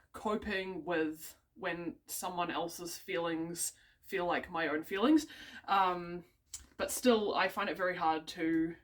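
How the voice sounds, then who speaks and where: A woman's voice: fairly low pitch, a bit bright. A young woman with a New Zealand accent talking casually to camera, hesitant and rambling with many ums and small laughs. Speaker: vlogger. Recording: voice a bit distant from the mic.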